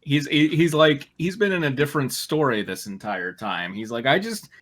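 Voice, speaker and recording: A man's voice: on the high side, lively intonation, moderate pace, a bit bright. A man narrating a fan fiction aloud and breaking off for casual riffing.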